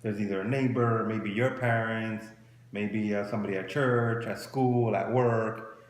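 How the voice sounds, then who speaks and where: A man's voice: lowish voice, steady tone, unhurried. A man in his forties giving casual, conversational advice as a co-host, often rephrasing his points. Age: 40s. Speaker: co-host of a marriage advice show. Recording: roomy echo with faint background noise.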